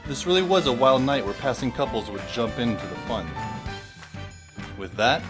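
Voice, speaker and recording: Guy doing a snarky teen boy narrating, dry and sarcastic, pitch moves around a lot, music under it. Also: very animated, moderate pace, natural voice. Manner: dry, sardonic. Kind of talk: fan audio drama narration. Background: background music.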